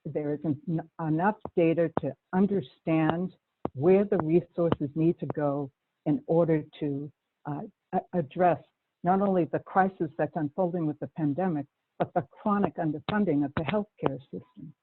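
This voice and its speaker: A woman's voice: low-pitched, normal speed. A woman with a Boston accent, speaking earnestly as a panelist in a webinar, often restarting her sentences. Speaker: panelist, Native community organizer. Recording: tinny phone-like line, faint hiss.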